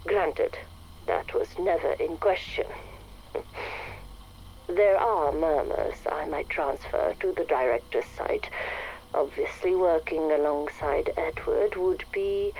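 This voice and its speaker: A smug, haughty head scientist. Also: female voice, low voice, dark tone, a little hiss or hum, rough recording. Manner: smug, condescending, measured. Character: haughty, power-hungry female head scientist. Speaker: research director. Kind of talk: game audio log.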